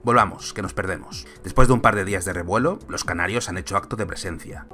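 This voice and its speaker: Man from Spain, film vlogger, sarcastic and argumentative, lowish voice, soft music behind. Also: fast. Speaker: YouTube film commentator. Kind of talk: opinion vlog.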